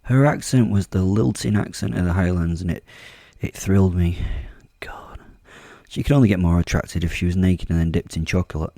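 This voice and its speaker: A man's voice: low-pitched, fairly even intonation, moderate pace, vocal fry, dark tone, dry recording, studio quality. A man narrating a first-person horror audio drama as host, brooding and wry with a menacing edge.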